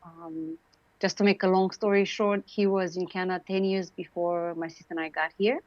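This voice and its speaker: A woman's voice: mid-range voice, moderate pace, creaky, dry recording. Guest: woman, late 40s, Romanian accent, chatty with fillers, dry dull video-call sound. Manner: warm, chatty, laughs often, many fillers. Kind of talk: casual interview talk.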